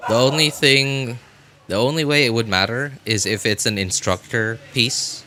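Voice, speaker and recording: Male live streamer, slightly low but bright voice, informal and rambling, loose conversational delivery. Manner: informal, rambling, repeats phrases for emphasis. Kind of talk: casual chat with stream viewers.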